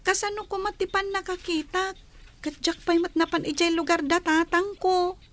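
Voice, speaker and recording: A woman's voice: very high-pitched, steady tone, moderate pace, fairly bright tone. A woman playing a lonely, dutiful schoolgirl in a radio drama, with an Ilocano Filipino accent. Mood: hurt. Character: lonely, dutiful schoolgirl granddaughter longing for family.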